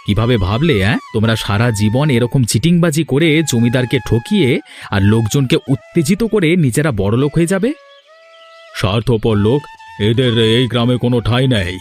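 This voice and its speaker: A man's voice: medium pitch, animated, a little fast, a little mellow, soft background music, lightly acted. A man playing a stern, commanding, unforgiving enforcer for a village landlord in a cartoon. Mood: angry commanding. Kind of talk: cartoon voice acting.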